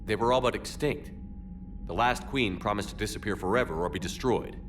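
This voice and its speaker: An actor playing a no-nonsense human military commander in a video game, speaking in a terse, controlled and authoritative way. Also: male voice, lowish voice, brisk, background noise, close to an everyday voice. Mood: level. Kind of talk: video game character acting.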